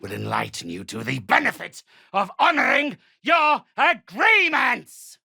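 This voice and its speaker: An actor playing an imperious, wrathful undead necromancer in a video game, with a theatrical, menacing, commanding delivery. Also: male voice, high-pitched, huge pitch swings, unhurried, gravelly, pushed and bright, breathy edge, no room sound, studio quality, exaggerated voice. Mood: furious. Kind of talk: video game character acting.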